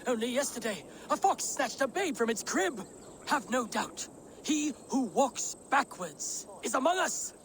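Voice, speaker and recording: An actor playing a fearful, zealous Saxon monk in a video game, speaking gravely and fervently. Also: male voice, extremely high pitch, expressive, unhurried, fairly bright tone, slight background noise, exaggerated voice. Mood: grave warning. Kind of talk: video game cutscene acting.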